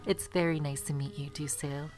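A woman playing a gentle, kindly grown woman with a soft, reassuring manner in a cartoon dub. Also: deep voice, steady tone, moderate pace, faint music behind, natural voice. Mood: tender. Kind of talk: comic dub cartoon acting.

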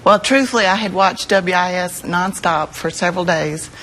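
A woman's voice: lowish voice, moderate pace, fairly bright tone, a little hiss or hum, low-quality recording. Earnest American woman interview guest. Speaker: interview guest, art teacher and painter. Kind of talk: live TV interview.

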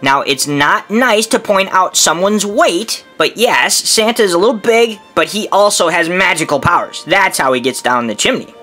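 A woman's voice: fairly low pitch, lively intonation, moderate pace, few pauses, a bit bright. A woman voicing a kindly troll dad reading a bedtime Christmas poem to children, theatrical and patient. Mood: gently explaining. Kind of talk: storybook verse narration for children. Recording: background music bed.

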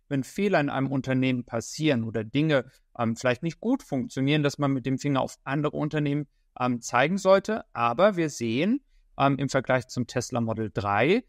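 A man in his thirties presents informal news commentary as an online host. His voice sits at a medium pitch and he explains his views in a measured way. The sound is dry, with hardly any room in it. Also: dry recording. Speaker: YouTube host presenting electric car news.

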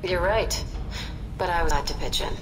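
A woman's voice: on the low side, moderate pace, lightly acted. A woman playing a teasing, confident young spy contact in a video game. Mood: teasing, friendly. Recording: hall reverb, distant, music behind.

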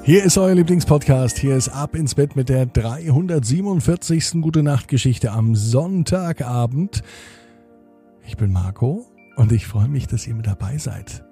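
A man hosting a children's podcast, gently reading a bedtime story in a calm, soothing way. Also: a little high, normal speed, soft background music.

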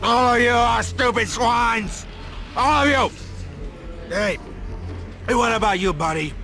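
An actor playing a belligerent, fanatical drunk miner in a video game, ranting in a slurred manner. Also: male voice, extremely high pitch, slow pace, creaky, pushed and bright, soft background music, exaggerated voice. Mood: drunken, accusing. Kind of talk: video game cutscene acting.

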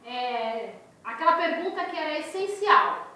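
A high, bright-voiced woman in her thirties with a Brazilian Portuguese accent, chatting to her viewers as she tells a story with lively expression. She sits at a desk in a small bedroom. The camera's mic picks her up from a little distance, and the room rings with strong echo. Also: a little slow. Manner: animated, expressive storyteller, gestures a lot. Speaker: crochet and crafts channel host. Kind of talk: casual storytelling vlog to viewers.

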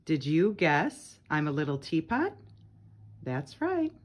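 A woman's voice: deep voice, very animated, takes it slowly. A woman reading children's nursery rhymes aloud from a picture book, speaking to young listeners. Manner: addresses young listeners with questions and short comments.

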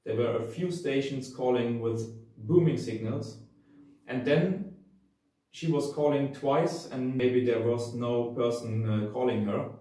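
A man's voice: medium pitch, unhurried, mellow. A man in his thirties with a German accent, casually recounting to camera as an amateur radio vlogger. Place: small sitting room, indoors. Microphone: camera a few metres away. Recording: echoey room, distant camera mic.

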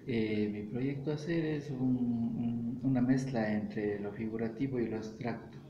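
Calm Ecuadorian man in an echoey room. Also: mid-range voice, unhurried, dull audio, a little hiss or hum. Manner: polite, calm, brief answers. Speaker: sculptor, interview guest. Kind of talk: interview answers.

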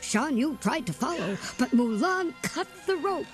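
A woman reading a children's storybook aloud with a lively, expressive delivery. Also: sits high, a little slow, music in the background. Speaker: storybook reader. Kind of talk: read-aloud storybook narration.

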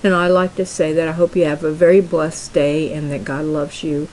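A middle-aged woman hosting a software tutorial, explaining each step plainly and slowly with frequent fillers. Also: low voice, little pitch movement, a little hiss or hum, rough recording. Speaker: tutorial host. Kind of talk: screen-recorded software tutorial.

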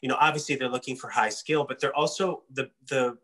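Bright-voiced man explaining in an interview. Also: medium pitch, steady tone, a little fast. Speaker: casting director being interviewed.

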